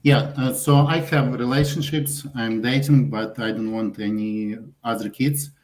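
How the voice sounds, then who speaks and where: A man's voice: mid-range voice, a little mellow. Male caller, 30s, immigrant, hesitant and polite. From immigrant to Canada. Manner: hesitant, halting speech with many uhs. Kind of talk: live call-in question.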